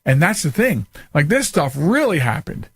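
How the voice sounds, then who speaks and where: A man's voice: a little high, sing-song, normal speed, fairly bright tone, husky, dry recording. A man hosting a radio talk show in casual, informal chat, often repeating and rephrasing himself.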